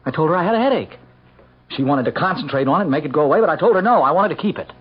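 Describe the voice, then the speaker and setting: An actor playing an anxious, hesitant forty-year-old husband who stammers, in an old-time radio drama. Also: male voice, a little high, expressive, very fast, husky. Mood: agitated, confiding. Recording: dull, faintly noisy old radio recording.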